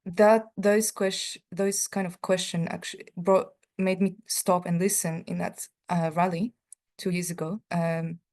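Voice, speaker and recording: A middle-aged woman, speaking on a panel and sharing a personal account in a halting way, full of fillers and restarts. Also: fairly low pitch, flat delivery, a little mellow.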